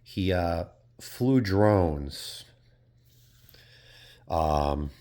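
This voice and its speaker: Low-voiced rambling man vlogging. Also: expressive, extremely slow, mellow. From Portugal. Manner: rambling, hesitant, frequent fillers and casual swearing. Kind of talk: casual reflective monologue.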